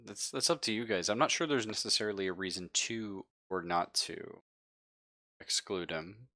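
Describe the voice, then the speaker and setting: A man's voice: lowish voice, normal speed, sharp tone, no room sound, studio quality. A man acting as game master in a casual live tabletop roleplaying session, easygoing and encouraging as he thinks aloud.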